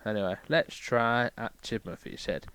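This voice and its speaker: A man playing a crabby, boastful railway boss who reads a storybook aloud with irreverent, deadpan asides. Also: fairly low pitch, normal speed, smooth, no room sound, natural voice. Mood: grumbling. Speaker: comic storybook reader. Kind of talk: irreverent ad-libbed storybook reading.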